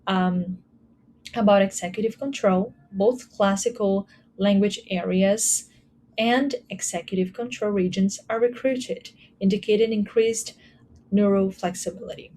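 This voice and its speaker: Brazilian-accented woman, conference presenter, measured delivery with many fillers, light background hiss. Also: medium pitch, dark tone, rough recording. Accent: Brazilian Portuguese. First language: Portuguese. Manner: measured, with frequent ums and uhs. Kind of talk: academic talk.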